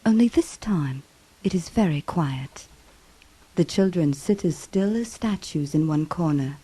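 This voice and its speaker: A woman narrating a ghostly radio drama in a solemn, measured, dramatic storytelling style. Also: low-pitched, expressive, mellow, slightly rough, full character voice, rough recording. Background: music.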